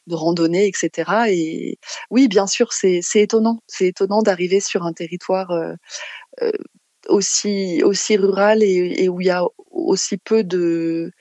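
A woman's voice: lowish voice, steady tone, warm tone, no room sound. French woman being interviewed, dry sound, keeps restarting phrases as she looks for words. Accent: French from France. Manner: halting, searching for words, repeating phrase starts. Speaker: interview guest, community association organiser. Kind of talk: spontaneous interview answers.

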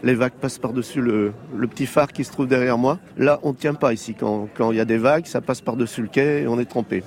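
A middle-aged man, an island mayor from France, explaining calmly with some hesitation in an outdoor interview on a quay. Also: mid-range voice, fast.

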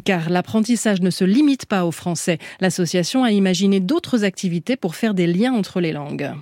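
A woman radio reporter reading a scripted report with clear, measured delivery into a studio microphone. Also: lowish voice, animated, a little mellow, no echo.

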